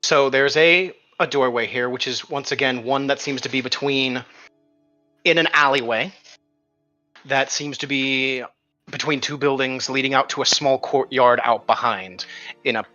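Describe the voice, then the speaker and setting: Bright-voiced man running a tabletop game. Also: medium pitch, fairly even intonation, moderate pace, lo-fi sound, soft background music. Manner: thinks aloud, rephrasing as he describes. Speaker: game master. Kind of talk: improvised tabletop game narration.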